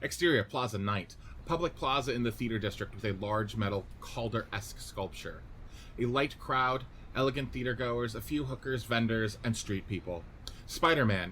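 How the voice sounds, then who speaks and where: A man's voice: fairly low pitch, a little hiss or hum. Man reading stage directions. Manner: steady, clipped direction reading. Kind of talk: script reading in 1940s radio play style.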